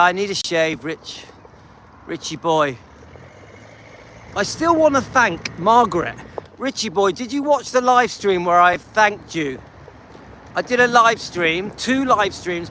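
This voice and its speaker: A man's voice: high voice, pushed and bright, slight background noise. An English man live streaming on a dog walk, chatting with viewers and reading out their comments in a rambling, friendly way. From England.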